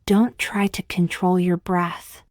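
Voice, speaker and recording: Woman leading a guided meditation, soft breathy almost whispery voice, slow n soothing, very dry close sound. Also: fairly low pitch, level tone, no room sound. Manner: slow, gentle and soothing, with long pauses. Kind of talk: scripted guided meditation narration.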